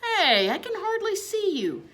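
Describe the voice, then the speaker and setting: A woman's voice: very high voice, huge pitch swings, measured pace, a bit bright, pitched above the performer's own voice, exaggerated voice. A woman voicing an affectionate, chatty, lonely male night cleaner in a children's storybook. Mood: fond, cheerful. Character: friendly lonely man, night cleaner fond of a hamster.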